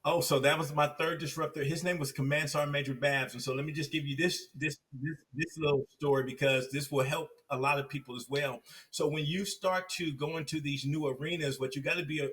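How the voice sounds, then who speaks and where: A man with a fairly high voice tells his story as a podcast guest, jumping into other people's voices to act out the scenes. He sits back from the mic, so he sounds a little distant. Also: fairly quick. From Compton, California. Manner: animated storyteller acting out quoted voices. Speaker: podcast guest, success coach.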